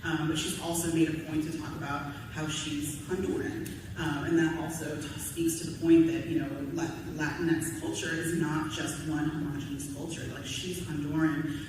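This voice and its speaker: A woman panelist giving candid, informal personal commentary at a convention panel, talking in a halting way with asides. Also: deep voice, little pitch movement. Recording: echoey hall, distant mic, clear background noise.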